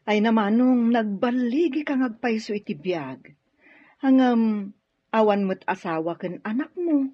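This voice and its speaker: A woman's voice: on the high side, measured pace, slightly rough, no echo. A woman playing an affectionate middle-aged mother in a radio drama, speaking in a chatty, motherly way. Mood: fond, concerned. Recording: dull, dry studio audio.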